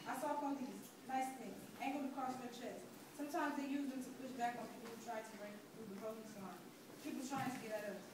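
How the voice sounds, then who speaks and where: A frightened young marcher recalls a hostile crowd as a young woman reads the part on stage. Her fairly high voice comes from far off in a very echoey room with clear noise. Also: a little fast, natural voice. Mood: tense recollection. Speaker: student performer in a dramatic reading. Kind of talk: dramatic reading of poetic first-person testimony. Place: large echoey indoor room, distant mic. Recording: very echoey hall from far off, noisy.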